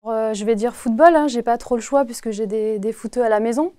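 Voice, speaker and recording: A woman in her forties from the Paris region, answering interview questions in a hesitant way with many pauses. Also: fairly high pitch, dark tone, no echo, clean studio sound. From Paris region, France. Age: 40s. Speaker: postal worker, interview guest.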